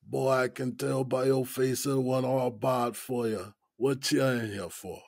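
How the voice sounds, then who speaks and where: An actor playing an easygoing, folksy older male inmate in his sixties in an audio drama, with a deep, raspy smoker's voice and a Gullah Geechee accent. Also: fairly even intonation, a little mellow, dry recording, clean studio sound. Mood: wry, easygoing. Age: 60s. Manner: folksy, unhurried, colloquial drawl.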